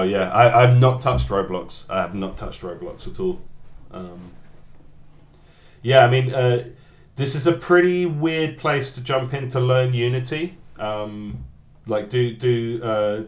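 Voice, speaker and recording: A man in his late thirties with a low voice talks through his coding session on a livestream, trailing off and restarting his sentences. His casual, self-deprecating chat rings out in a roomy, echoey space. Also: steady tone. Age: 30s. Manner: rambling, halting, many restarts, self-deprecating. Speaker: game developer streaming.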